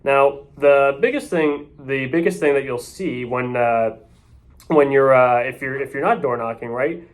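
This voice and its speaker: A man's voice: mid-range voice, unhurried. A man who works as a real estate agent, coaching others on door knocking in a loose, off-the-cuff way and often tagging his points with "right?". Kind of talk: casual sales coaching talk.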